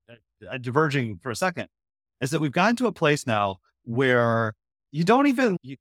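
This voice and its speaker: A man's voice: mid-range voice, fairly bright tone, no room sound, clean studio sound. A man talking casually and comedically as a podcast guest, with a wry, sarcastic manner.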